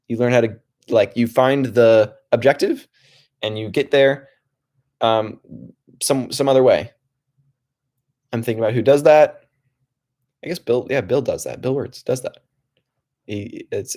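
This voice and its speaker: A young man hosting a relaxed livestream from his home desk, talking softly in a calm, rambling way with many restarts. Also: medium pitch. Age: young adult. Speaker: livestream host.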